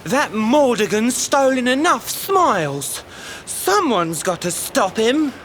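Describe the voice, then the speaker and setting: A woman's voice: fairly high pitch, very animated, slow pace, slight background noise. A woman playing a loyal, tender-hearted parade troupe member in a video game cutscene. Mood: sad, accepting.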